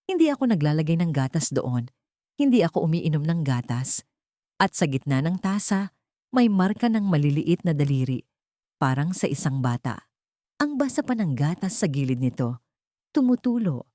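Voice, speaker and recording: A woman narrates a scripted horror story in the first person. Her voice sits low and mellow, recorded very dry and close. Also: big pitch swings, normal speed, long pauses, no echo.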